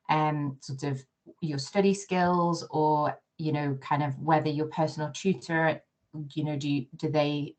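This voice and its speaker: A woman hosting an informal online session, speaking in a hesitant, loosely phrased way. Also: low voice. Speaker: university host moderating a session.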